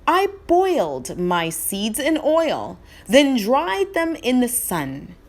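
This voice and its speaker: A woman's voice: a little high, wildly swooping pitch, slow pace, heavily acted. A woman voicing a solemn, commanding king in a storybook read-aloud, stately and declamatory. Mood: revealing. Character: solemn, commanding male king, fair and hearty.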